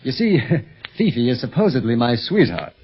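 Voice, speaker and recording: An actor playing a brisk secret agent who poses as a French tutor in an old-time radio serial. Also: male voice, medium pitch, very animated, faint music behind, natural voice. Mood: businesslike. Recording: muffled vintage radio recording.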